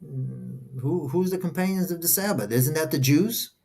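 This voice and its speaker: A man's voice: fairly high pitch, normal speed, vocal fry, warm tone, slightly rough. A man whose first language is Portuguese, reading verses aloud and stopping often to question each phrase in a casual discussion. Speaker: co-host reading scripture.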